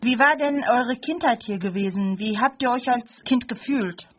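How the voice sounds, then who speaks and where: A young woman in her late twenties hosts a studio chat, hesitating often as she speaks. Her voice sits fairly high, and the recording sounds dull and lo-fi. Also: moderate pace. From Germany. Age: 20s. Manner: hesitant, with frequent fillers. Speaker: radio show host.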